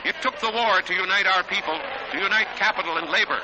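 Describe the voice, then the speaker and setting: A man's voice: high-pitched, quick pace, lo-fi sound. An American man narrating a 1940s newsreel in a dramatic, declamatory manner. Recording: 1940s newsreel soundtrack with loud music.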